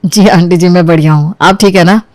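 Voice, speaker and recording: A woman's voice: lowish voice, fairly quick, darkish tone, no room sound, studio quality, natural voice. Woman playing an agreeable, polite younger brother. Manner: polite, reassuring.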